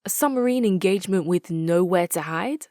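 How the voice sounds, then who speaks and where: An actress playing a hard-nosed, articulate female military commander in a strategy game, speaking in a measured, wry, authoritative way. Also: lowish voice, expressive, mellow, dry recording, clean studio sound, close to an everyday voice. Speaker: video game faction leader. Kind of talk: dramatic scripted game quotes.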